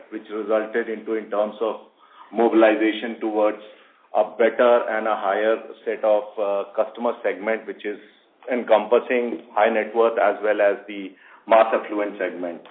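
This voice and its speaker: Bank exec guy, lowish voice, formal and wordy, halting, thin phone audio. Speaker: bank executive. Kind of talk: earnings call answer. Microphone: phone conference call. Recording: tinny narrowband phone line.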